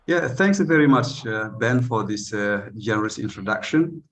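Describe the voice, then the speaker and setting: A man's voice: mid-range voice, animated, moderate pace, a bit bright, a little hiss or hum. Hesitant man from northern Senegal. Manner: hesitant, with many fillers and "you know". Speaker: panel speaker, eco-village leader. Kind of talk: unscripted speech on a panel.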